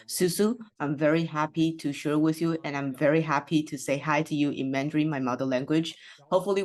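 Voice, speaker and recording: An interpreter voicing a host's welcome remarks and housekeeping notes, hesitating often with fillers and restarts. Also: medium pitch.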